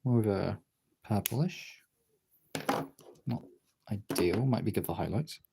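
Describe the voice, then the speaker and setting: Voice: low voice, slow pace, creaky, noisy background. A streamer chatting casually while drawing, rambling and thinking aloud in a self-deprecating way.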